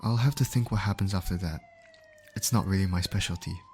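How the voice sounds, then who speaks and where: Man acting low-voiced, soothing ambulance medic, siren behind. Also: brisk, darkish tone, a little hiss or hum, close to an everyday voice. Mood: concerned, soothing. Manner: soothing, coaxing, attentive. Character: gentle, reassuring male ambulance medic tending an injured patient. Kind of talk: ASMR comfort roleplay acting.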